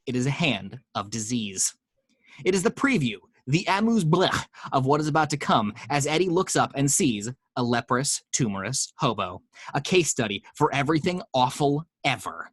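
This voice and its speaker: A man's voice: fairly high pitch, a bit bright, slightly rough, no echo. Guy reading stage directions at home, chatty asides, dry close sound. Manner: casual, chatty asides to listeners. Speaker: narrator reading action lines. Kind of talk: amateur table read narration.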